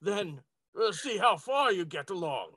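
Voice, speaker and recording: A man's voice: sits high, breathy edge, no echo. A man playing a tabletop roleplaying game, speaking in character with formal, lofty phrasing.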